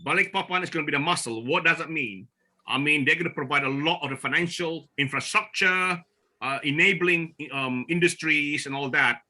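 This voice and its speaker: Bright-voiced man giving an online talk. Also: fairly high pitch. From Borneo. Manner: halting, with frequent restarts and fillers. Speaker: forum speaker presenting slides. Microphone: video call.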